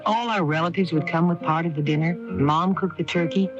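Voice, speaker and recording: A woman's voice: lowish voice, brisk, lightly acted. A woman acting in a radio commercial in a conversational style. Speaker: commercial actress. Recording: dull 1980s radio tape, loud music.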